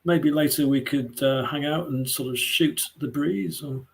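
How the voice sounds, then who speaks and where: A man's voice: on the high side, fairly even intonation, unhurried, creaky. A man competing in a pun contest, amused and chuckling, with hesitant fillers, over a video call. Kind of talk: quick-fire pun banter.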